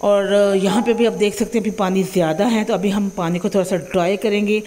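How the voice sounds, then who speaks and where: A woman's voice: medium pitch, steady tone, fairly quick, mellow. A young woman with a Punjabi accent, hosting a home cooking tutorial in a chatty manner and often checking in with "theek hai ji". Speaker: cooking channel host. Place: home kitchen.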